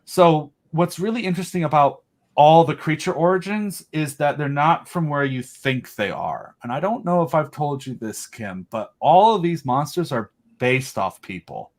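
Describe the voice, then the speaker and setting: A man's voice: fairly high pitch, expressive, moderate pace. Enthusiastic man rambling about monsters. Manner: enthusiastic, rambling fan talk. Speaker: podcast host and audio drama creator. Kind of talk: casual video chat interview.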